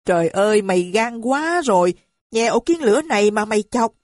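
A woman voicing a shrill, boastful, foul-mouthed middle-aged mother who screeches her abuse. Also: moderate pace, a little mellow, no room sound, studio quality, lightly acted. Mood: screaming.

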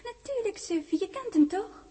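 Casting: dreamlike young woman of memory, high soft-toned voice, tender and teasing, vintage radio play. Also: animated, normal speed. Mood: playful, coaxing. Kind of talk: 1960s radio drama acting.

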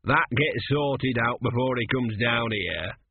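A man doing a weary old embalmer, slow and dry. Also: mid-range voice, breathy edge. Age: middle-aged. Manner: slow, tired, matter-of-fact. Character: weary veteran male embalmer, patient and philosophical. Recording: tinny radio broadcast.

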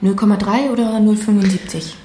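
A woman played by an actress as a polite waitress in a radio play, speaking briskly in a soft-toned, mellow voice. The room is echoey, with a little noise. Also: medium pitch, fairly even intonation, natural voice. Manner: brisk, polite service talk. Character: brisk, polite waitress taking a drinks order. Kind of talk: naturalistic radio drama dialogue.

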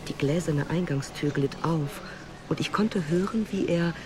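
Slow, lightly high male storyteller played by an actor. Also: music in the background, close to an everyday voice. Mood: measured, observant. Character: man recounting an odd night and morning, observant. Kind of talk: literary first-person narration in a radio play.

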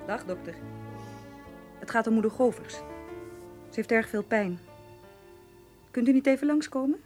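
A woman's voice: on the high side, lively intonation, unhurried, darkish tone, faint music behind. A woman playing a polite, worried female caregiver in a radio drama.

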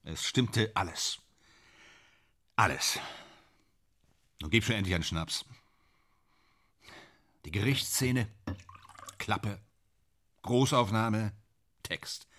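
A man's voice: on the low side, very slow, pauses often, a bit bright, heavily acted. A man in a radio play acting a vain, tormented stage actor, bitter and self-dramatising, with a theatrical, declamatory manner that swings between muttering and outbursts. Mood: bitter pride.

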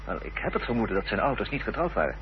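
An actor playing a polite, persistent investigator in a Dutch radio play, with formal, probing questions. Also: male voice, lowish voice, sing-song, very fast. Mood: inquisitive. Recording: dull old radio recording with hiss and hum.

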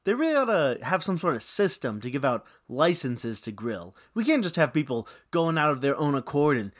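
Man, comic radio co-host, animated delivery, close dry recording. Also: fairly high pitch, normal speed, no echo. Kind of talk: scripted comic banter.

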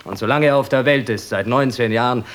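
An actor in a radio drama playing a cautious twenty-five-year-old leader of conspirators, admonishing and insistent. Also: male voice, medium pitch, fairly quick, fairly bright tone, lightly acted. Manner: admonishing, insistent, condescending to younger comrades.

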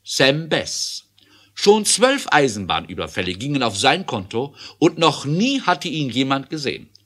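A man's voice: mid-range voice, big pitch swings, measured pace, a bit bright. A man narrating an adventure audio drama in an emphatic, theatrical way.

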